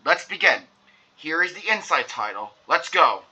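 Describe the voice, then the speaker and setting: A man's voice: fairly high pitch, sing-song, moderate pace, sharp tone, slightly rough, lo-fi sound. A young man, hosting a read-along video in an enthusiastic, repetitive way. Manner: enthusiastic, repeats himself. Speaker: video host reading aloud.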